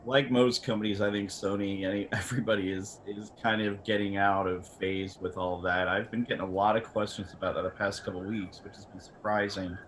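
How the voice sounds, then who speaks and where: Lowish voiced guy rambling bluntly on an expert panel, repeats himself, noisy call audio. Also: flat delivery, fairly quick, few pauses, a bit bright. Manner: blunt, rambling, repeats words mid-sentence. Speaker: camera company representative on a panel. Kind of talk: casual expert panel talk. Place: online video meeting from a room.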